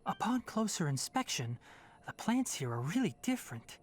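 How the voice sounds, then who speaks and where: A man playing a young, practical forest ranger in a video game, speaking in a measured, precise, instructive way. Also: high-pitched, big pitch swings. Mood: measured, cautious. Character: young male fox-eared forest ranger, calm, practical, fastidious. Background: game music.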